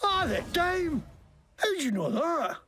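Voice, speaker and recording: An actor playing a crude, scheming wasteland mechanic who fakes a bad Scottish accent and rants with profane, jumpy indignation in a video game. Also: male voice, very high voice, big pitch swings, slow pace, bright, forceful tone, heavily acted. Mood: indignant. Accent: put-on, badly faked Scottish. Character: crude scheming wasteland grease-monkey man faking Scottish. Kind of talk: video game character acting.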